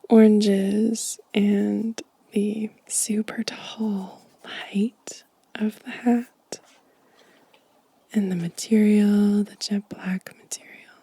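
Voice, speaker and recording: Female ASMR host, very soft and mellow, whispering gently in a breathy, slow delivery. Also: mid-range voice. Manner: gentle, unhurried. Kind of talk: soft-spoken, whispered ASMR show and tell.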